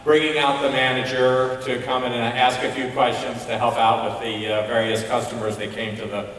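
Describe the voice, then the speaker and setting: Man speaking haltingly in a very echoey hall. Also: medium pitch, level tone, a bit bright. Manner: halting, searching for words. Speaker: festival judge giving critiques. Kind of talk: spoken awards feedback to an audience. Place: large indoor auditorium. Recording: distant echoey hall PA, long reverb, faint noise.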